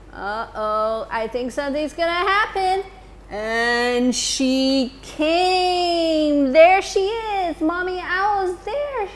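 A woman in her thirties, a teacher, reading a picture book to children in an expressive storytime manner in a school library. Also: high-pitched, slight background noise. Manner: slow, expressive, addressing children.

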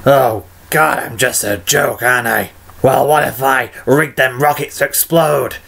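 A man voicing a bitter, sardonic undead ghoul from a video game, with a raspy, throat-grinding gravel voice. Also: medium pitch, steady tone, a little slow, fairly bright tone. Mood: anguished, bitter. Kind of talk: video game character impressions, voice reel.

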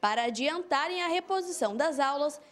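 A woman's voice: high voice, animated, fairly quick. A woman with a Brazilian accent reading a scripted television news report.

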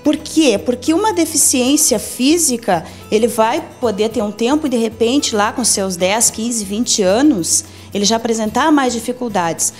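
A woman's voice: on the high side, animated, normal speed, few pauses, darkish tone, faint music behind. A woman in her forties with a Brazilian accent, a lawyer methodically explaining legal rules in a TV interview in an office, into a handheld mic. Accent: Brazilian Portuguese. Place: office meeting room.

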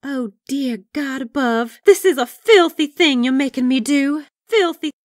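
A woman voicing a bold, lusty, sharp-tongued young frontier woman in a comic book dub, with theatrical emphasis. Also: high voice, unhurried, no echo, studio quality. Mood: lustful.

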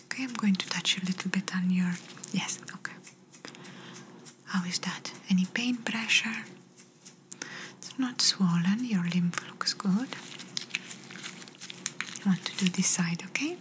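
Softly whispering, gentle woman doctor, acted by a woman. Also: lowish voice, measured pace, pauses often. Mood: gentle, reassuring. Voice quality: soft, breathy whisper. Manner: gentle, reassuring, slightly non-native English phrasing. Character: gentle, attentive woman doctor giving an ear exam. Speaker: ASMR performer. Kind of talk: ASMR medical exam roleplay, whispered. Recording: noisy close-up whisper recording.

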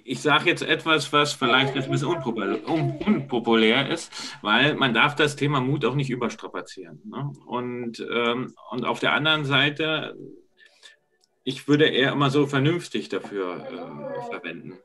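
Casting: middle-aged man, school rep, measured and reflective over a laptop mic. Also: medium pitch, lively intonation, sharp tone. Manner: thoughtful, measured, some stumbles. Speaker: school representative. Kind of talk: video call discussion. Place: home room, indoors. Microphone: laptop mic on video call.

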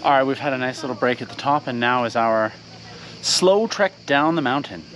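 A man's voice: medium pitch, moderate pace, background noise. A man chatting upbeat and directly to viewers as a travel vlogger, walking outdoors through a town and up a mountain trail. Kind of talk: casual travel vlog narration.

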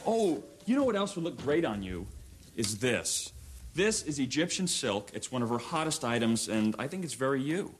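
A man's voice: medium pitch, sing-song, moderate pace, a little hiss or hum, close to an everyday voice. Man acting a flustered, eager shop clerk. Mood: flattering. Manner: apologetic, placating, stammering when pressed. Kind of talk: comedy sketch acting.

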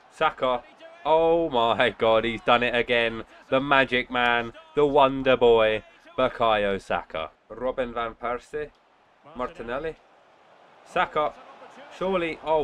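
A young man with a cold, sounding nasal, casually commentating his football video game and bursting out loudly at big moments. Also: mid-range voice. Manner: excitable outbursts during play, muttering between. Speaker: gaming channel host. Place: indoor room at home.

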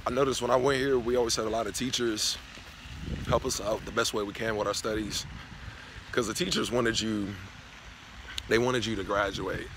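A man's voice: on the low side, fairly even intonation, normal speed, long pauses. Young man rambling on a phone outdoors. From Knoxville, Tennessee. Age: young adult. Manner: loose, profane, rambling talk. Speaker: vlogger. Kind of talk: casual vlog rant. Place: outdoors on a grassy college campus. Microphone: phone camera held at arm's length. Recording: outdoor phone audio with clear background noise.

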